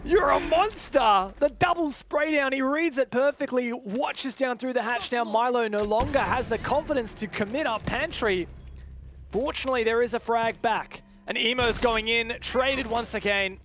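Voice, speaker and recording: A man doing live play-by-play esports commentary with a dramatic delivery that builds excitement around the action. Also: very high voice, normal speed, slight background noise.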